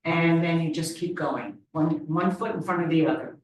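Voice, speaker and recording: A woman's voice: low voice, normal speed, darkish tone. A woman panelist giving firm, direct advice. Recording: echoey hall from far off.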